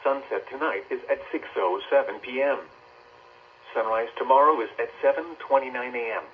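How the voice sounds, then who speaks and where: A man's voice: medium pitch, moderate pace. Synthetic male weather radio announcer, dull and noisy. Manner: stilted, synthesized, formal announcer delivery. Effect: synthetic voice over weather radio. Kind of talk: scripted weather bulletin reading. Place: played from a weather radio indoors. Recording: dull radio speaker, clear noise.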